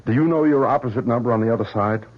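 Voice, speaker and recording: An actor playing an anguished, idealistic weapons scientist in a 1970s radio drama, earnest and emphatic, with a husky voice. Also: male voice, mid-range voice, racing, close to an everyday voice. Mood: earnest appeal. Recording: dull vintage radio recording, faint music.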